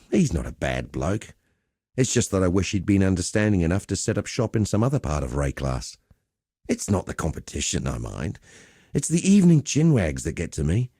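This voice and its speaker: An actor playing a cheerful, rough-and-ready arena fight master in a video game, chatty and blokey. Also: male voice, mid-range voice, a little mellow, no room sound, clean studio sound. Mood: wry, chatty. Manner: chatty, cocky, blokey storytelling with colloquial swearing. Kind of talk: video game character dialogue.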